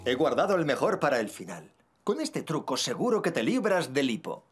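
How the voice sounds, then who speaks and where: A man's voice: mid-range voice, big pitch swings, brisk, husky. A man voicing a cheerful, boastful young cartoon dad in Castilian Spanish, playful and reassuring, as in a children's dub. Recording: music behind the voice.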